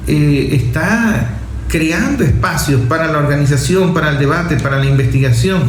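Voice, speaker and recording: A man with a Venezuelan accent giving impassioned political commentary, insistent and repetitive, driving points home with rhetorical questions. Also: fairly high pitch. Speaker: journalist and speaker. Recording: echoey room with faint noise.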